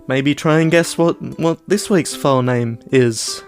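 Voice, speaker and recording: A man co-hosting a casual film podcast, halting and dryly playful. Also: a little high, faint music behind. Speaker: podcast co-host.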